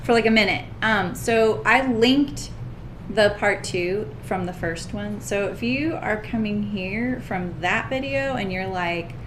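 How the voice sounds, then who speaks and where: Woman host, mid-pitched, a touch scratchy, chatty livestream tone, faint room hiss. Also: unhurried, a bit bright. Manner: chatty, greets viewers by name, laughs often. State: slightly scratchy voice. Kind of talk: casual livestream chat.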